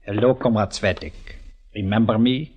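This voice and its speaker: An actor playing a dogged, pushy henchman who talks gruffly in 1950s radio acting. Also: lowish voice, steady tone, normal speed, lo-fi sound, over music, lightly acted. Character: dogged male party henchman, pushy and sly.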